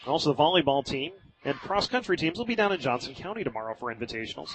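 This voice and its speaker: Higher-pitched man calling football on radio. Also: very animated, quick pace, low-quality recording. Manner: narrates action in short clipped phrases. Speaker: play-by-play sports announcer. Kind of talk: live high school football radio commentary.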